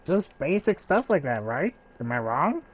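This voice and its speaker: A man streaming a game playthrough, chatting casually and excitably, stumbling over his words. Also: a little high, normal speed, slightly rough, dull audio. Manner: excitable, stammering, jokey. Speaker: gaming streamer.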